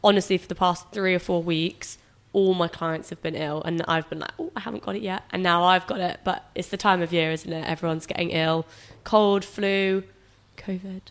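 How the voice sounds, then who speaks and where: A woman's voice: fairly low pitch, steady tone, moderate pace, few pauses. A young woman co-hosting a casual podcast, congested with a cold, chatty and teasing, a personal trainer. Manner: chatty, teasing, laughs often. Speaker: podcast co-host. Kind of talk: casual chat.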